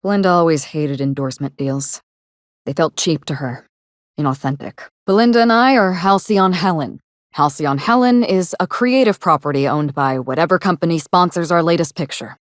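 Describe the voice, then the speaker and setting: A woman playing a guarded, world-weary actress in a video game, speaking in a measured, dry, wry way. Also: lowish voice, no room sound, natural voice. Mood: wistful, subdued. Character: guarded, world-weary actress investigating her sister's murder. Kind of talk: scripted game dialogue.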